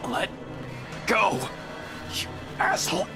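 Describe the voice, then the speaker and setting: A man voicing a defiant detective who is being strangled, gasping and croaking in a visual novel. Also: slow pace, music in the background, natural voice. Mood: choked defiance. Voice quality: choked, croaking. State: gasping, strained. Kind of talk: visual novel character dialogue, read aloud.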